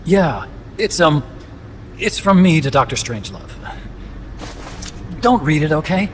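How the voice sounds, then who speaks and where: An actor playing an earnest, disillusioned scientist in a video game, explaining things in a talkative, serious way. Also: male voice, on the high side, sing-song, measured pace, noisy background. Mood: confiding, uneasy. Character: earnest, disillusioned male nuclear weapons scientist, unable to walk. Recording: loud music behind. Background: music.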